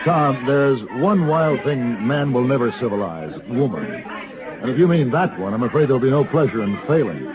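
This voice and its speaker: An American actor playing an urbane, sardonic gunfighter, articulate and dryly superior, in a radio western. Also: male voice, mid-range voice, lively intonation, close to an everyday voice, rough recording. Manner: articulate, dry, superior, measured wit. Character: urbane, sardonic hired gunfighter, a grown man. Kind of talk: 1950s radio western acting. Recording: old radio recording, crowd murmur behind.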